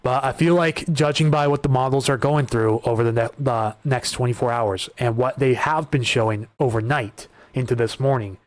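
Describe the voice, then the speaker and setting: A man hosting a live weather stream, talking through wind data and viewer questions in a casual, conversational way, often restarting his sentences, his voice starting to go hoarse. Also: medium pitch, fairly even intonation, moderate pace, few pauses, no room sound. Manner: conversational, repeats words and restarts while reading data. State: voice getting hoarse. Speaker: weather livestream host.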